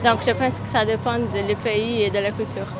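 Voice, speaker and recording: A woman's voice: medium pitch, fairly even intonation, a little fast, over music. A woman from Brazil, answering in hesitant, simple French.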